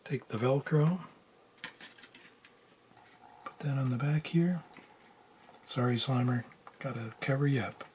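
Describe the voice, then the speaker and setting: A man giving a casual, unscripted how-to in a low-key, halting murmur while he works with his hands at a bench. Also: a little mellow. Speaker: electronics hobbyist demonstrating a repair. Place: indoors at a workbench. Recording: noisy room with handling clicks.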